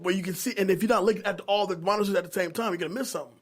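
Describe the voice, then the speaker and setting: American guy hosting a podcast, pretty high voice, slangy, swears a lot, animated storyteller. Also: rapid-fire. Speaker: podcast host. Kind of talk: casual podcast chat.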